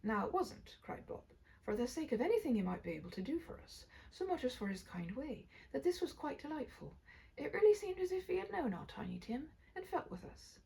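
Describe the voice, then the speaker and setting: A woman reading a story aloud with an expressive, theatrical and emphatic delivery. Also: high voice, fairly quick, creaky. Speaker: reader.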